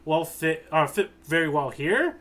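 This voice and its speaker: A man co-hosting a podcast, recapping a story in casual, slangy and animated talk. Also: a little high, a little slow. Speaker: podcast co-host, tour guide. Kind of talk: casual recap chat about a manga.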